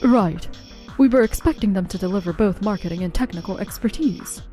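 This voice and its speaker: A woman's voice: mid-range voice, brisk, dark tone, faint music behind, close to an everyday voice. A woman playing a businesslike, matter-of-fact office colleague in a scripted manga dub. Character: businesslike female office colleague reporting problems.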